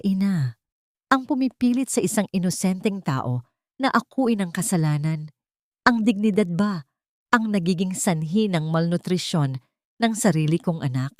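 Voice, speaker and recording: Betrayed rich father for audio drama, woman performing: icy anger, scornful accusations, fairly low register. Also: dry recording, studio quality. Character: cold furious betrayed man, tycoon and father.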